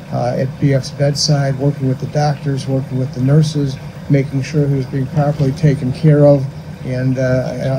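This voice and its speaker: An older man with an American accent giving an informal eulogy in a halting, conversational way outdoors. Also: mid-range voice. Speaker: funeral eulogist. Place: outdoors under a cemetery tent. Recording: steady outdoor background noise.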